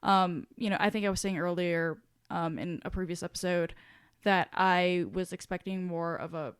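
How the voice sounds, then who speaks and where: A woman's voice: on the low side, steady tone, normal speed, smooth, no room sound, clean studio sound. A woman co-hosting a podcast in casual discussion, talking in a rambling, self-deprecating way. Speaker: podcast co-host.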